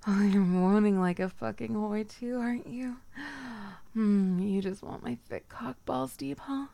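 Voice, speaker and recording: A woman performing a dominant, teasing audio roleplay, speaking in soft whispers broken by low murmurs. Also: measured pace, vocal fry, no room sound.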